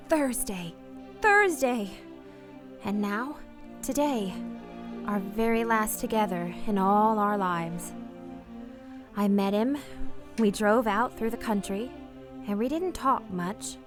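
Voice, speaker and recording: A woman's voice: mid-range voice, lightly acted. A woman playing a weary, heartbroken married woman parting from her lover, hesitant and anguished, in a radio drama. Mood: numb, wistful. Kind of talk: radio play acting with confessional narration.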